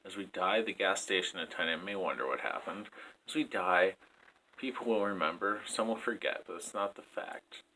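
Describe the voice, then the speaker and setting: A man's voice: low voice, moderate pace, bright, forceful tone, rough recording. A man in his twenties reading his poetry aloud as a spoken-word performance. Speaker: poet. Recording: clear background noise.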